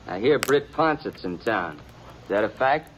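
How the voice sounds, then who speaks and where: A man's voice: lively intonation, normal speed, slight background noise, natural voice. An actor playing a jittery young gunslinger who stammers as his voice climbs in panic, in a 1950s radio drama. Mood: wary. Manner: stammering, voice jumps high with fear. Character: jittery young gunslinger man, boastful, cracking under pressure. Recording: muffled old radio recording.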